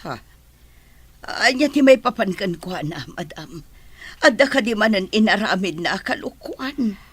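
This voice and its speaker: A woman playing a deferential, worried household maid with a breathy voice in an audio drama. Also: mid-range voice, lively intonation, unhurried, no room sound.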